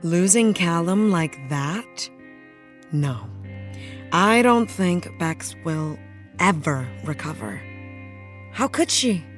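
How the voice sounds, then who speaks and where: A woman playing a fond, expressive actress reminiscing in a documentary-style interview. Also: fairly low pitch, slow pace, pauses often, soft background music. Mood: wistful. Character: affectionate actress reminiscing fondly about her co-star in interview.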